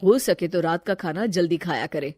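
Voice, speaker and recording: A woman voicing a family doctor who gives calm, measured advice in a comic story. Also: on the low side, voice pushed down, natural voice. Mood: calm, advising.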